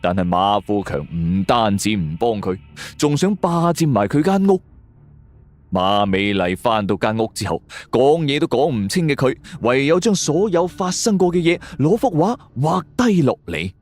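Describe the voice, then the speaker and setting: A man's voice: mid-range voice, big pitch swings, moderate pace, a little mellow, no room sound. A man with a Hong Kong Cantonese accent narrating a scripted suspense story in a theatrical, suspenseful storyteller's manner. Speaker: audio drama narrator. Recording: music bed under the voice. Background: background music.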